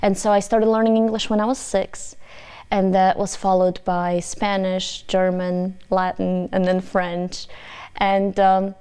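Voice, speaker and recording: A woman from Poland, Polish her first language, talks as a university guest in a measured, explanatory way. Her voice is smooth and resonant, sitting at a middle pitch for a woman. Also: level tone, darkish tone. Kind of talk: interview answers.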